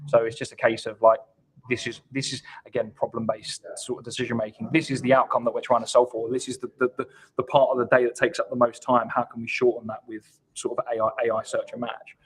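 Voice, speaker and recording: A man with a husky voice explaining his points in a conversational business interview, emphatic and often repeating words as he builds them. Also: medium pitch, little pitch movement, fast, poor audio. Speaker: guest account executive at a software company. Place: online live video call.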